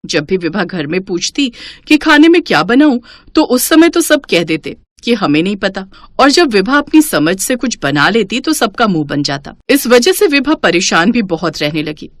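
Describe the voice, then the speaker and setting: A woman's voice: medium pitch, big pitch swings, normal speed, no room sound. A woman narrates a scripted domestic family story as a storyteller.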